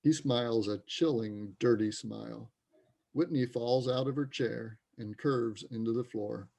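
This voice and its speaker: A man's voice: medium pitch, unhurried, creaky, warm tone. A man narrating an amateur play reading, delivering stage directions as scripted narration.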